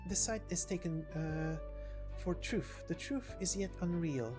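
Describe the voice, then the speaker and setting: A woman voices a sage-like mentor from a game story. The delivery is solemn, cryptic and measured, in a lowish voice over clearly audible music. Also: lightly acted. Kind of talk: game story character voicing.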